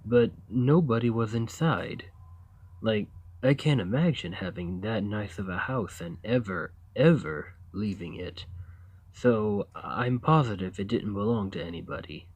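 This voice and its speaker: A man playing a friendly, naive, awkward giant who lives in a cave, in an ASMR roleplay, speaking in a hesitant, earnest, gently upbeat way. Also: on the low side, measured pace, breathy edge. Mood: helpful. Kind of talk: ASMR roleplay, acted monologue to the listener.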